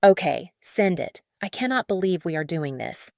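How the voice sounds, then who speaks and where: A woman's voice: fairly low pitch, sing-song, normal speed, vocal fry, darkish tone, no echo, clean studio sound. A woman playing a cautious, anxious astrophysicist in a sci-fi audio drama, with a serious, apprehensive manner. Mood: nervous.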